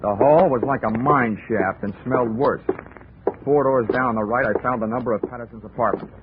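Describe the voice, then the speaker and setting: An actor playing a wisecracking hard-boiled insurance detective who narrates and speaks in a dry, sardonic way, in 1940s radio drama style. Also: male voice, medium pitch, brisk, heavy background noise, lightly acted. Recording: tinny old radio broadcast with music.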